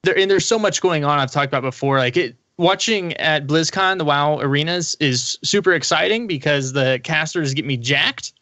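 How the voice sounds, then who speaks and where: A man's voice: a little high, normal speed, hardly any pauses, bright, forceful tone, no echo. A man chatting informally as a panelist on a gaming podcast. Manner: informal, conversational. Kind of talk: casual gaming podcast chat.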